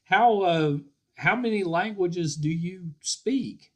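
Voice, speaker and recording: A man's voice: high-pitched, slow. Easygoing man hosting an interview. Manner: relaxed, repeats words as he restarts. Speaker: podcast host, interviewer. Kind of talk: casual interview chat.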